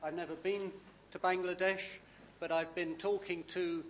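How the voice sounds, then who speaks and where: A man giving a public talk as an author, hesitant, with many fillers and restarts, often speaking quietly. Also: high-pitched, little pitch movement, normal speed, slight background noise.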